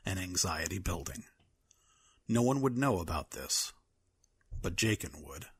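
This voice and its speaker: A man's voice: lowish voice, fairly bright tone, breathy edge. A man reading scripted dramatic fiction as a narrator.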